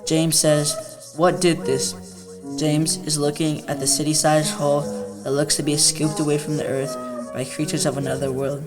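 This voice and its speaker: A man's voice: a little high, flat delivery, normal speed. A boy in his early teens reading a scripted story aloud as a student, over music. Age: young teenager.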